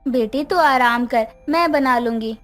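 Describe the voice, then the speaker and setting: A woman's voice: sits high, measured pace, fairly bright tone, soft background music. A woman acting a meek, long-suffering middle-aged wife and mother in a narrated moral story.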